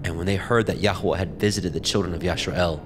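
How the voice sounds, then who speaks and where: Low-voiced solemn male narrator. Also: steady tone. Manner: measured, solemn reading. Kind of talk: scripted scripture narration. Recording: music behind the voice.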